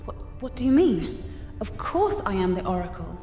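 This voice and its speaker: Haughty high priestess in echo played by a woman. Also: very animated, moderate pace, mellow. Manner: imperious, theatrical, defensive. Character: haughty, indignant high priestess, a fake oracle. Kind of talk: audio drama acting. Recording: echoing chamber reverb, music behind.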